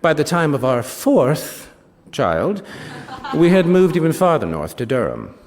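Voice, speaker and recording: An actor playing a wry male British novelist, reading a first-person literary story in an expressive, savouring way with small asides. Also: fairly high pitch, measured pace. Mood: wry, confiding. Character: wry male British novelist recounting a rivalry with his friend. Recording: reverberant hall, stage reading.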